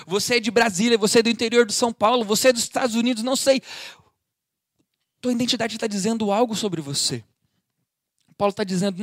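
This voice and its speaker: A man's voice: high-pitched, no echo, clean studio sound. A man with a Brazilian Portuguese accent, preaching a livestreamed Bible teaching, explaining with homely everyday examples and earnest exhortation.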